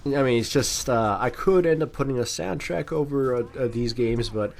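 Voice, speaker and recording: A man's voice: mid-range voice, moderate pace, creaky. A man giving casual, amateur video game commentary in a rambling, self-deprecating way.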